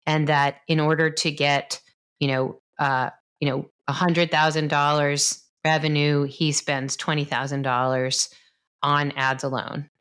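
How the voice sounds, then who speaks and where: Woman, 50s, low-pitched, enthusiastic physician-host who explains clearly. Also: level tone, unhurried, a bit bright. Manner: enthusiastic, explains science plainly, laughs often. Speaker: doctor, podcast host. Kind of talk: casual conversation.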